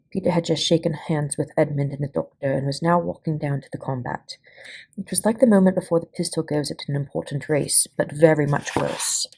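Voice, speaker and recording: A woman reading a children's story aloud in an expressive, dramatic manner. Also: low-pitched, creaky, slightly rough. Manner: dramatic, puts on voices for dialogue. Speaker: volunteer story reader.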